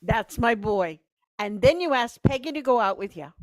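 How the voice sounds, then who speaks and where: A woman's voice: fairly high pitch, lively intonation, normal speed, no echo, close to an everyday voice. A woman playing a nagging, encouraging mother of a grown son in a vintage-style radio play.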